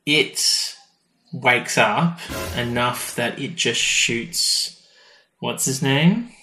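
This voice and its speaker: A man with a mid-pitched voice gives a casual, conversational recap as a podcast host. He retells things in an animated way, slipping into quoted reactions. The room adds clear echo, with a little music behind him. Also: drawn-out words. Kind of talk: casual episode recap chat.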